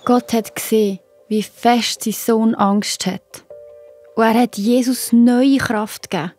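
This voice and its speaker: A woman with a Swiss German accent narrating a children's Bible story, addressing young listeners directly in an expressive storytelling manner. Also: a little high, slow, faint music behind.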